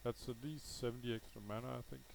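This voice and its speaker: A man's voice: mid-range voice, fairly even intonation, fast talker, creaky, a little hiss or hum. A man with a Danish accent, a native Danish speaker, gives casual unscripted gameplay commentary, thinking aloud hesitantly and correcting himself as he goes. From Denmark. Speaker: gaming video host.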